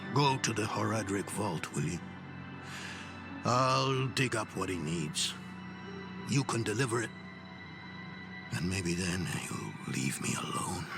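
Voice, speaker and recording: Grumpy sardonic old scholar in a video game, gravelly low voice, music behind. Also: male voice, heavily acted. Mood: irritated, dismissive. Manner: sardonic, grumbling. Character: weary, sardonic older male scholar, bitter and grudging. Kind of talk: dramatic video game acting. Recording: game audio with music underneath.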